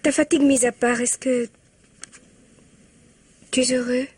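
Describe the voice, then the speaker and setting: Anxious wife, high husky voice. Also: female voice, fairly even intonation, measured pace, darkish tone. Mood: tentative, pleading. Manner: tentative, solicitous questioning. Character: attentive, anxious, neglected wife, gently pleading. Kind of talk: 1950s French radio mystery acting.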